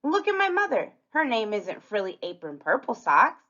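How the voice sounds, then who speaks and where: A woman's voice: a little high, huge pitch swings, normal speed, bright, forceful tone, voice pushed up, exaggerated voice. A woman voicing a cheeky, questioning little girl in a storybook, on a dull recording. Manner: questioning, sassy. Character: cheeky, outspoken little fairy-tale girl.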